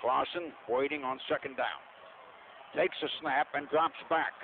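A man's voice: medium pitch, unhurried, creaky. A man calling American football play-by-play live on the radio, rooting for his team in short, clipped phrases. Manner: partisan, clipped fragmentary phrases. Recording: lo-fi radio broadcast, narrow band, loud noise.

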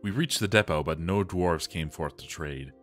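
Brief: man performing a composed fantasy caravan official, low-voiced, formal journal narration with music behind. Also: normal speed, natural voice.

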